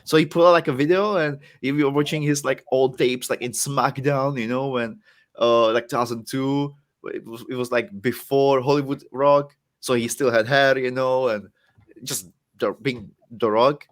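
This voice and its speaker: A man's voice: mid-range voice, fairly even intonation, moderate pace. Young Czech-accented man, casual guest, loose rambling delivery, self-mocking. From Czech Republic. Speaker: guest wrestler. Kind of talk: casual podcast chat.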